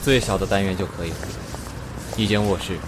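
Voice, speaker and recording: A man's voice: low-pitched, fairly even intonation, fairly quick, background noise, lightly acted. A man playing a curt, matter-of-fact official escort in a sci-fi radio drama.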